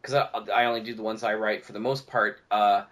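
A man's voice: fairly low pitch, fairly even intonation, fairly quick, a bit bright. Rambling Canadian man telling anecdotes. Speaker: podcast guest, audio drama producer. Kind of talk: casual interview chat, anecdotes.

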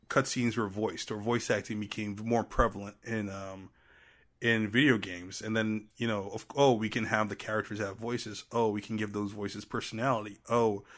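A Trinidadian man with a fairly low but bright, forceful voice. He talks as a podcast guest, casual and jokey, in an animated conversational style. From Trinidad and Tobago. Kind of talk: casual interview chat.

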